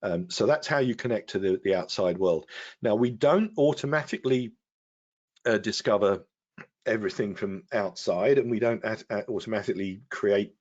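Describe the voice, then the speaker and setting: A man with a fairly low voice explains a technical product as a guest expert. He talks through software steps in a halting, explanatory way. The audio is somewhat dull and lo-fi, like a web stream.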